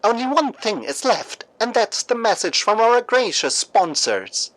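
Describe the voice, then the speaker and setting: A man narrating scripted radio drama and mock news as an anchor, in a theatrical, deliberate storytelling manner. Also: high-pitched, big pitch swings, fairly bright tone.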